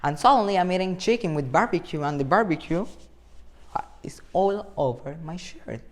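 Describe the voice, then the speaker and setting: A man in his twenties with a Venezuelan accent, a motivational speaker talking to camera in a playful, animated way and acting out imagined dialogue. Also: high-pitched, moderate pace. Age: 20s. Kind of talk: talk to camera.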